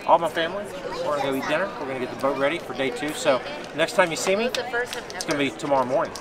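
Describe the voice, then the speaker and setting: A man's voice: a little high, expressive, quick pace. A middle-aged man chatting informally to camera as a vlog host. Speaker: professional angler, vlog host. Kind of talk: casual vlog chat to camera. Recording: outdoor and indoor noise, crowd bustle.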